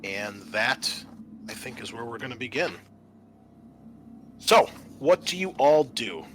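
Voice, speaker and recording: Bright-voiced man narrating a tabletop game. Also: mid-range voice, big pitch swings, unhurried, slight background noise. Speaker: game master. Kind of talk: narrating a tabletop roleplaying game, part scripted, part improvised.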